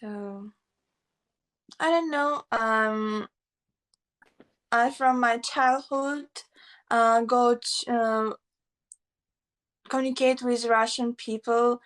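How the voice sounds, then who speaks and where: A woman whose first language is Buryat, speaking slow, simple English with fillers as a guest on a video call. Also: fairly high pitch, steady tone, fairly bright tone. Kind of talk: casual video-call chat.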